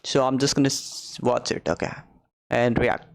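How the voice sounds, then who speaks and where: A man from Nepal with a Nepali accent, hosting a casual reaction video, chatty and full of "like" and excited exclamations. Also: mid-range voice, moderate pace, creaky.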